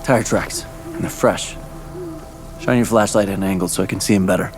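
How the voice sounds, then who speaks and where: An actor playing a dutiful, stern soldier in an audio drama, firm and insistent about procedure. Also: male voice, sits low, fairly even intonation, brisk, over music, natural voice. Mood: curious. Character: dutiful male soldier, stern by-the-book superior.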